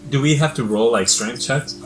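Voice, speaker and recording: A man's voice: medium pitch, moderate pace, faint music behind. A man talking casually as a player in a tabletop game.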